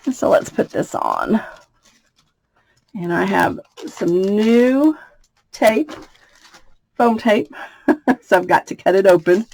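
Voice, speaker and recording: A woman from the United States with a slightly raspy voice, chatting through a card-making tutorial and laughing between steps. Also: medium pitch, very animated, takes it slowly, pauses often, a little mellow, no room sound. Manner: chatty, laughs often, thinks aloud. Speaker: craft demonstrator. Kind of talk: casual craft tutorial.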